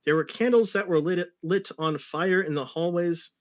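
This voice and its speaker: Guy reading fanfic out loud dramatically, higher voice, throws in wry little comments. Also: normal speed. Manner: narrates with wry asides. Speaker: reader of a fan fiction story.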